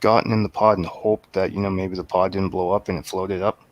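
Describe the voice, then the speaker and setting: Male panel guest, lowish pitch, folksy everyday phrasing, informal movie discussion. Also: level tone, a little fast, no echo, lo-fi sound. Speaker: guest on a movie review show. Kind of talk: casual movie review chat.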